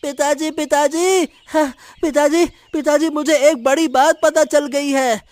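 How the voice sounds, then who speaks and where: A woman voicing an earnest, anxious young village boy in an animated moral story, pleading and urgent. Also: high voice, measured pace, no echo. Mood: panicked.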